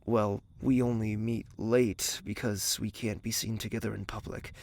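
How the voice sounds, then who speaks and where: Brooding young prince played by a man, gravelly and hushed, close dry audio drama recording. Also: fairly low pitch, little pitch movement, normal speed, no room sound, natural voice. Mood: frank, low. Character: guarded, devoted young prince, tender and brooding. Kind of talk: intimate audio drama acting with inner monologue.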